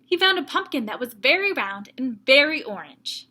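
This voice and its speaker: A woman teacher reading a story aloud to young children, with expressive emphasis and explanatory asides. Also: high voice, normal speed.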